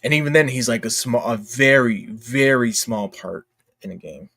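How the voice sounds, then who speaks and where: A man talks as a livestream host in a casual, slangy way, voicing opinions with emphasis. His voice sits at a medium pitch, recorded dry with little room sound. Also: normal speed, pushed and bright, no echo. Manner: opinionated, informal, emphatic, slangy "dude" and "bro". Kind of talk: casual livestream chat about games.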